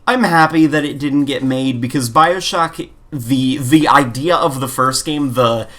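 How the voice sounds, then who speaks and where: A man's voice: mid-range voice, unhurried, fairly bright tone. Casual, opinionated male podcast co-host. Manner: informal, opinionated, rambling with frequent "like". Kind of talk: casual gaming chat.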